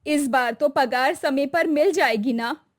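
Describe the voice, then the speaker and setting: A woman's voice: high-pitched, unhurried. A woman voicing a tired, anxious mother of a grown son in a cartoon story. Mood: worried, hopeful.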